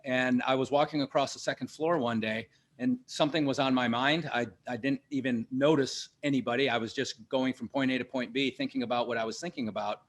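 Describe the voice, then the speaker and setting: Halting male host telling anecdotes. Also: mid-range voice, steady tone. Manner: halting, many restarts and fillers, tells personal anecdotes. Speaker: interview host, leadership teacher. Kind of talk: conversational interview.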